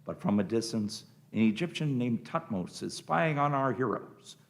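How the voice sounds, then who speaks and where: A man's voice: mid-range voice, animated, moderate pace, creaky. A man narrating a scripted radio drama, reading out the scenes and action.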